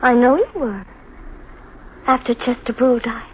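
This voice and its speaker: An actress playing an unhinged young wife, childlike and possessive, with plaintive melodrama in a 1950s radio thriller style. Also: female voice, fairly high pitch, a little slow, close to an everyday voice. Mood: cold, menacing. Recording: noisy, tinny 1950s radio recording.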